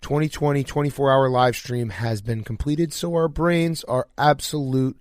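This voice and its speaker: A man's voice: medium pitch, moderate pace, darkish tone, no room sound, clean studio sound. A sleep-deprived man hosting a podcast with casual, blunt, slangy sports banter and joking. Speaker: podcast host.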